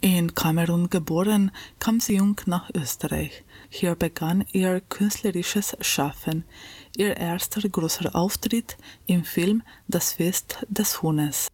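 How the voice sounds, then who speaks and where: Mellow, low-voiced woman radio host. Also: breathy edge, dry recording. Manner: calm, measured, read from a script. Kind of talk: scripted tribute narration.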